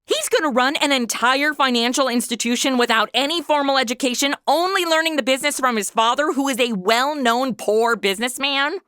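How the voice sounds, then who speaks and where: A woman's voice: high-pitched, a little fast, hardly any pauses, a bit bright, clear, resonant tone, dry recording, studio quality. A woman playing a flippant, sceptical modern trainee angel in a comedy audio drama, blunt and sarcastic. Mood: wry, engaged. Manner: blunt, snarky.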